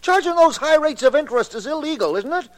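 An actor playing a frightened barber, agitated and insistently pleading, in 1950s radio drama style. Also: male voice, very high voice, expressive, fast.

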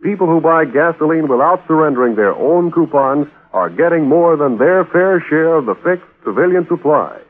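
A man's voice: on the high side, expressive, normal speed, few pauses, narrow, tinny audio. A man announcing on 1940s radio, delivering scripted copy with polished, emphatic salesmanship. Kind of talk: 1940s radio advertising read. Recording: thin, band-limited 1940s radio.

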